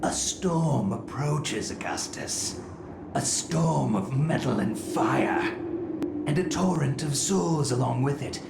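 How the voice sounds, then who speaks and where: An actor playing an ominous dark prophet in a video game cutscene, speaking in portentous, poetic phrases. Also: male voice, mid-range voice, vocal fry. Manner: slow, portentous, drawn out. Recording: echoey, very noisy ambience.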